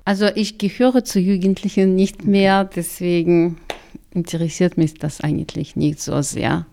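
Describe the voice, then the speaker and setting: Slow, gravelly Russian-accented woman. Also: on the low side, fairly even intonation, mellow, a little hiss or hum. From Kazakhstan. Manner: careful, repeats "sehr, sehr" often. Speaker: interviewee, migrant community worker. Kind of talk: radio interview answers.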